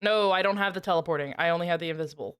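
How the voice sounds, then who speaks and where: A woman playing a tabletop role-playing game, talking casually and deliberately as she thinks aloud. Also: lowish voice, fairly bright tone, clear, resonant tone.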